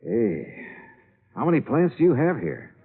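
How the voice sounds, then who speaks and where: Animated hard-boiled radio detective. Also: male voice, medium pitch, measured pace, breathy edge, exaggerated voice. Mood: businesslike. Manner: clipped, wry, hard-boiled. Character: brisk, wry, tough male insurance investigator and narrator. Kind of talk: old-time radio detective narration and dialogue. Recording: tinny vintage radio broadcast recording.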